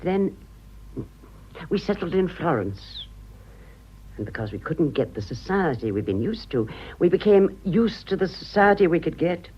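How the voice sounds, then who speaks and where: A husky older British woman in a radio drama, vain and self-pitying. Her low voice swoops widely as she confides with theatrical pauses, on a slightly dull recording. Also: big pitch swings, full character voice. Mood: wistful, worldly. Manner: dramatic, confiding, halting for effect.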